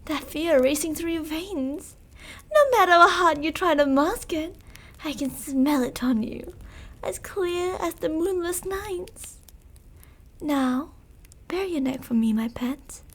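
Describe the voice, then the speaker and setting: An ancient female vampire in an intimate ASMR roleplay. Her voice sits extremely high and she draws her words out slowly. She is seductive, possessive and cruel, giving orders in a coaxing, controlling way. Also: a bit bright. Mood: mocking, predatory. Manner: slow, commanding, coaxing, with whispered endings.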